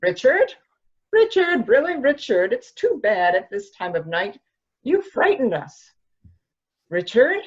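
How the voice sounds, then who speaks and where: A woman's voice: fairly high pitch, very animated, slow, husky, dull audio, exaggerated voice. A woman acting a composed, skeptical member of a mansion household in a murder mystery reading. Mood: scolding.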